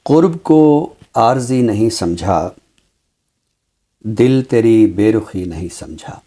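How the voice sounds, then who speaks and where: A Pakistani man speaking formally and deliberately as a literary commentator, with emphatic, repeated phrasing. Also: on the low side, expressive, warm tone. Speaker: literary commentator on a talk show. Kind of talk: measured, formal literary discussion and poetry recital.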